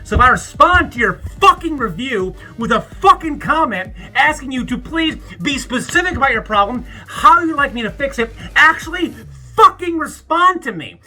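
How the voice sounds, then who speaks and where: A man in his thirties talking alone to camera in a rambling, halting way, often restarting his sentences and sometimes breaking into profane ranting. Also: very high-pitched, lively intonation, pushed and bright. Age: 30s. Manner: rises into an angry, profane rant. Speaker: YouTuber. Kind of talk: reflective birthday vlog monologue.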